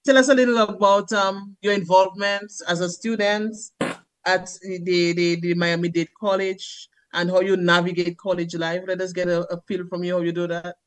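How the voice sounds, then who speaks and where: High-voiced man hosting an interview. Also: level tone, normal speed, fairly bright tone. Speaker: host, interviewer. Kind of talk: formal but conversational interviewing.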